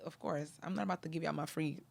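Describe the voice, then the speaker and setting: A woman's voice: low voice, fairly even intonation, fast, creaky. A woman from Honduras, hosting a casual chat, talking animatedly and full of fillers. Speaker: podcast host.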